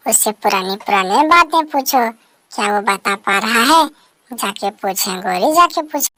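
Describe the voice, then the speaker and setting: A woman's voice: on the high side, lively intonation, unhurried, bright, forceful tone, dry recording. A woman voicing a concerned, advice-giving female bird in a cartoon story, urging and repeating her words. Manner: urging, repetitive. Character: busybody female bird friend, concerned and advising. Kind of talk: Hindi animated bird story dialogue.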